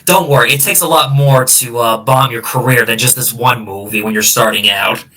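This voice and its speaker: Man, bright forceful voice, podcast host, casual film talk, rambling and halting, slightly distant mic. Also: medium pitch.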